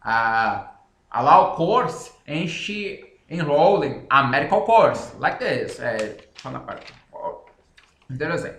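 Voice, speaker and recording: A man from Brazil speaking English with a Brazilian Portuguese accent, talking casually and haltingly, correcting himself as he goes. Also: on the high side, big pitch swings, a bit bright, echoey room, a little hiss or hum. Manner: halting, self-correcting non-native English with frequent pauses. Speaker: independent author. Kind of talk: casual explanatory talk to camera.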